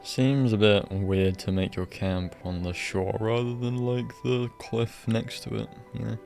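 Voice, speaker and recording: Male streamer, low register with vocal fry, informal off-the-cuff gameplay commentary. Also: steady tone, unhurried, a little mellow, soft background music. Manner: informal, musing aloud. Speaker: game streamer. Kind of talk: casual live game commentary.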